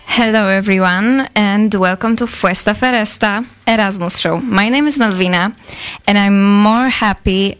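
A woman presents a talk show as its host. Her voice is clean and smooth at a middle pitch, and she shifts between prepared lines and casual chat. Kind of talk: scripted introduction and chatty hosting.

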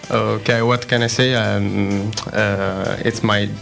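A twenty-year-old man from Tuscany in Italy, a student guest, speaking in a halting, amused way. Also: lowish voice, steady tone, gravelly, fairly bright tone, soft background music. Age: 20s. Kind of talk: casual chat.

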